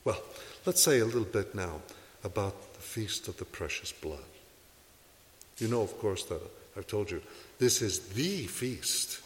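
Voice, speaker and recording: Earnest low-voiced man preaching. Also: animated, unhurried, long reverb tail, slight background noise. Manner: earnest, exhorting, asks rhetorical questions. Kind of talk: sermon to a congregation.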